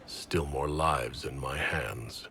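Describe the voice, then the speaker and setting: A man playing a calm, courteous, supportive leader in a video game, speaking considerately. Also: very deep voice, slow pace, slight background noise, full character voice. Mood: sober. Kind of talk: video game dialogue acting.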